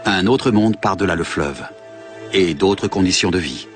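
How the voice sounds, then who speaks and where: A man giving a measured, scripted documentary narration as a studio voice-over. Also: deep voice. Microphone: studio voice-over mic. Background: background music.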